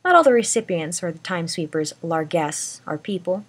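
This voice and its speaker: A woman's voice: lowish voice, animated, moderate pace. A woman reading a short story aloud as scripted narration. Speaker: amateur story reader.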